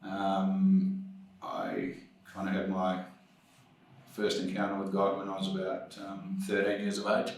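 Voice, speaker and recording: A man from New Zealand with a New Zealand accent, telling his story as an interview guest, hesitant with many pauses and restarts. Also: deep voice, creaky, heavy reverb, distant mic, rough recording.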